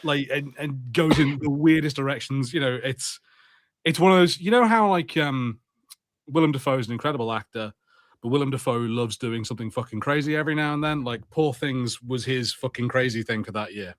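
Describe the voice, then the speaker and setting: A man's voice: mid-range voice, brisk, no room sound, clean studio sound. A man co-hosting a podcast, chatting casually, correcting himself as he goes and swearing freely. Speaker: podcast co-host. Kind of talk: casual chat.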